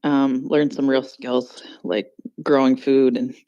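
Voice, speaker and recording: A woman's voice: a bass voice, flat delivery, slow, warm tone, muffled recording. A woman on a debate panel, blunt and insistent, swearing freely. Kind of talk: casual debate.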